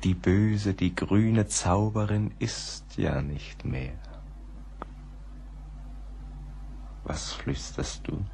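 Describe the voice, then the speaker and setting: An actor in a German radio play, playing a haunted, melancholy poet in a brooding, halting, intimate monologue. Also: male voice, deep voice, animated, vocal fry, dark tone, slight background noise, exaggerated voice. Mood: tender, haunted. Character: melancholy, haunted poet musing to someone lost.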